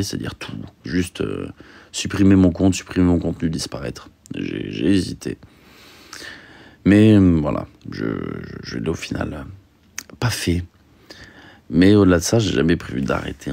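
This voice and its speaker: A young man chatting casually on a livestream, reading out questions and answering in a halting way, repeating himself with lots of fillers. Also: low voice, creaky, a little mellow, dry recording. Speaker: streamer reading and answering chat.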